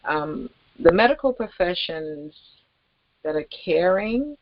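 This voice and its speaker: A woman giving an informal, rambling promotional talk as host, with halting, emphatic delivery and pauses mid-sentence. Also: fairly low pitch, lively intonation, muffled recording, slight background noise. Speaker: network marketing promoter and host.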